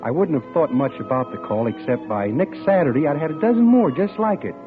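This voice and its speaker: Lovestruck bachelor clerk, played by a man, very animated, colloquial and dreamy, old radio sound with music behind. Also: a little high, fairly quick, narrow, tinny audio, natural voice. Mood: musing, intrigued. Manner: folksy, colloquial, daydreaming. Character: eager, lovestruck young bachelor bank clerk narrating his story. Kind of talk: 1940s radio drama acting, first-person narration.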